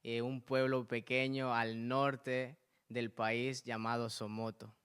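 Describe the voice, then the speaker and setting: A young man, a guest on a church program, introducing himself calmly and steadily. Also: medium pitch, slow, clean tone. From Nicaragua. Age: young adult. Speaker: guest, usually behind the camera.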